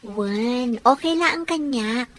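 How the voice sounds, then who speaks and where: A woman's voice: on the high side, animated, slow pace, fairly bright tone. A woman acting a sweet, understanding young girlfriend with an Ilocano accent in a radio drama. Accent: Filipino, Ilocano. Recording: music behind the voice.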